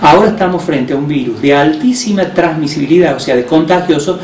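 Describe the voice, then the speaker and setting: A man's voice: a little high, darkish tone, slight background noise. Argentine man on a distant, roomy mic. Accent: Argentine Spanish. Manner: measured, explanatory, with frequent hesitations. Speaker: hospital director. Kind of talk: explaining health measures on radio.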